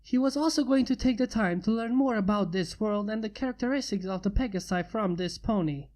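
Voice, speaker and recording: Mellow woman reading a story aloud. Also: medium pitch, fairly quick. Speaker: fanfiction reader.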